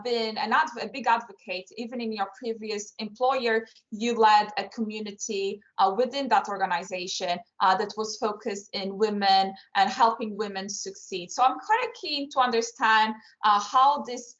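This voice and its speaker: A woman's voice: mid-range voice, a bit bright, lo-fi sound. A woman from Portugal, hosting a podcast and asking a guest long, hesitant questions. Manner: hesitant, many fillers and restarts. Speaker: podcast host. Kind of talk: interview questions.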